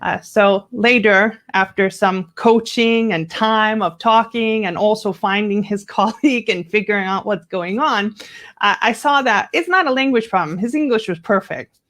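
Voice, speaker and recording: A woman from the United States, a guest English coach, telling workplace stories in an animated way and acting out the quoted lines in a higher voice. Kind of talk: conversational storytelling interview.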